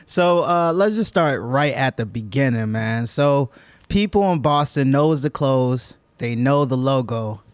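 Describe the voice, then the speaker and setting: A male podcast host who asks questions in an easy, friendly way and keeps tossing in "man." His voice sits at a middle pitch and sounds close and dry, with no room around it. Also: expressive, unhurried, clean tone, no echo. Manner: laid-back, friendly questioning, says "man" often. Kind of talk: casual interview hosting.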